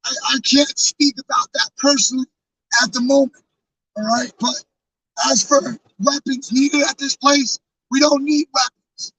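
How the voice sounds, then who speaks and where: A hoarse man losing his voice, talking casually and earnestly to his live-stream chat, rambling with fillers and restarts. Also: very high voice, steady tone, measured pace, pushed and bright, no room sound, far from the mic, low-quality recording. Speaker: live streamer with a truck convoy. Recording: off-mic with faint background noise.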